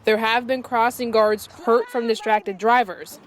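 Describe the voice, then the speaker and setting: A woman's voice: a little high, steady tone, a little slow, a little hiss or hum. A woman television reporter reading scripted narration for a news package.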